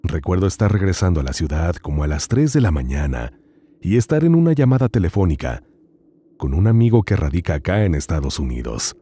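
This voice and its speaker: A man narrating horror stories as host, in a slow, hushed, dramatic reading with long pauses. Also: deep voice, sing-song, breathy edge.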